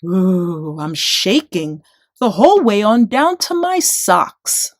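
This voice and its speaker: A mean giant of a man from a rhyming children's storybook, acted out by a woman. He is boastful and mocking, with big theatrical swings in pitch. The recording is close and dry. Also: very animated, slow, no room sound, full character voice. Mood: mocking, thundering.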